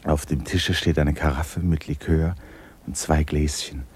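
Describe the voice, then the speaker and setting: An actor playing an intimate narrator of a melancholy love story in a 1960s radio play, hushed and measured. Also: male voice, extremely low pitch, fairly even intonation, husky, exaggerated voice. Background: music.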